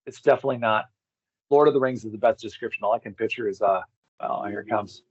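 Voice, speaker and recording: A man's voice: lowish voice, fast talker, slightly rough, dry recording. A man speaking as a guest on a video call, explaining things in a casual, conversational way. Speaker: podcast guest, expedition organizer. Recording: slightly dull lo-fi call audio.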